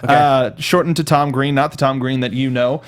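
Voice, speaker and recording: A man's voice: medium pitch, fairly even intonation, normal speed. A man co-hosting a film review show, chatting casually and conversationally, sometimes acting out imagined lines. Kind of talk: casual chat breaking down a movie.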